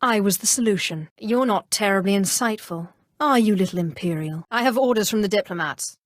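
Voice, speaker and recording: An actress playing a cold, haughty, cunning female Sith sorceress in a video game, speaking in a composed, imperious and deliberate way. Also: medium pitch, dry recording, studio quality, natural voice. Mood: haughty, dismissive. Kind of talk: scripted role-playing game dialogue.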